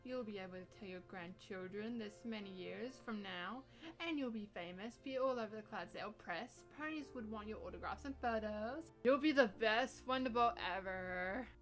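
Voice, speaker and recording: A woman voicing an affectionate, supportive pony sister in a dramatic reading, gently teasing in manner. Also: medium pitch, moderate pace, close to an everyday voice. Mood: admiring. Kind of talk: dramatic reading of a fan story. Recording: music under the voice.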